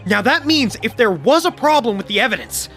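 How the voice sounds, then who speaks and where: A woman's voice: on the high side, big pitch swings, fairly quick, faint music behind. A woman voicing an earnest, dramatic young male defense lawyer in a fan dub of a courtroom game. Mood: urgent.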